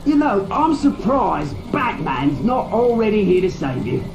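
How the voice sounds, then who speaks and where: British game villain, sneering and taunting, vengeful crime lord, highly animated pitch, echoey, loud music underneath. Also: male voice, unhurried, heavily acted. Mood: gloating, taunting. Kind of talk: dramatic video game voice acting.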